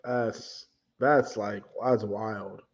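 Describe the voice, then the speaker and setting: A man's voice: lowish voice, fairly even intonation, takes it slowly, muffled recording. A man in his late twenties, a guest on a casual sports podcast, blunt and laid-back, laughing often. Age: 20s.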